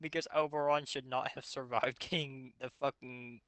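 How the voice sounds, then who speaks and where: A man's voice: medium pitch, steady tone, moderate pace, fairly bright tone. A man hosting a reading of fan fiction aloud, breaking off into sarcastic, sweary commentary. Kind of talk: mocking dramatic reading with casual commentary.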